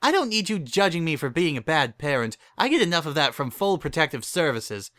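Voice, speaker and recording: A man's voice: a little high, big pitch swings, fairly quick, sharp tone, dry recording, studio quality, pitched above the performer's own voice. A man voicing a scrappy, drowsy, hard-drinking pony mother in a storybook, whiny and belligerent. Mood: indignant.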